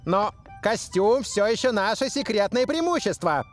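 A man's voice: high voice, animated, normal speed, soft background music. A man voicing a scheming older rival football coach in a cartoon dub, indignant and commanding.

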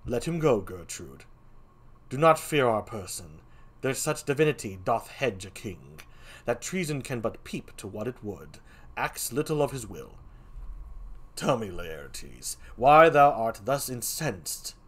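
A man voicing a troubled, scheming middle-aged king, speaking in a hushed, brooding way. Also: mid-range voice, very animated, a little slow, slightly rough.

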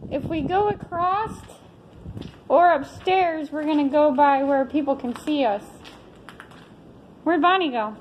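A woman with a US Midwest Ohio accent, exploring an abandoned school and talking casually, cautious and a bit hushed about being seen. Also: high-pitched, measured pace, pauses often, lo-fi sound, a little hiss or hum. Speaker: urban explorer filming. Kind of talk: casual chat while exploring. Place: dark abandoned school building, indoors.

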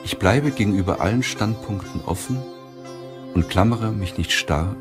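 A man reading a self-help audiobook in a measured, deliberate way with pauses. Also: fairly low pitch, music in the background. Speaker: audiobook narrator. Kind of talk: scripted audiobook narration of a self-help book.

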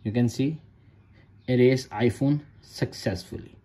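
A man's voice: medium pitch, unhurried, mellow. A man narrating a phone tutorial in short, repeated step-by-step instructions in non-native English. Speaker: tech tutorial host.

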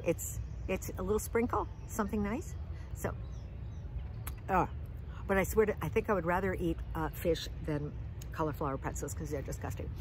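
An older woman telling funny food stories in a chatty, animated way, filmed outdoors in the woods on a close phone camera. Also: lowish voice, a little hiss or hum. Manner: chatty, animated, with lots of fillers and jokes. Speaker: vlog host. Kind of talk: casual comic storytelling.

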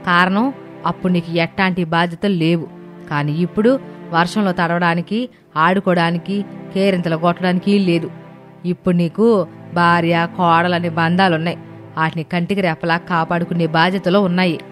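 A woman's voice: fairly low pitch, fairly even intonation, moderate pace, close to an everyday voice. Woman doing firm older mother-in-law, music behind. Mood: stern. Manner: firm, instructive. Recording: music and rain sound effects behind.

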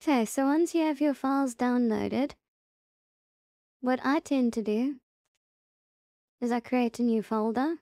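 Female tutorial host, casual and halting, unhurried step-by-step delivery, close dry sound. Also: fairly high pitch, dark tone, dry recording. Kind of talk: casual software tutorial narration.

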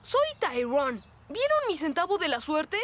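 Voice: sits high, sing-song, measured pace. An actor voicing an earnest young moose boy in a children's cartoon, puzzled and questioning. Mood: puzzled, searching. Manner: questioning, bemused.

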